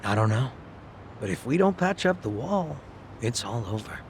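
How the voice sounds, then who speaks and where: A man voicing a weary gang leader, tough but soft-hearted, in a game cutscene. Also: medium pitch, big pitch swings, normal speed, a little hiss or hum. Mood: tired. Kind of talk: video game cutscene acting. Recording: game audio with music behind.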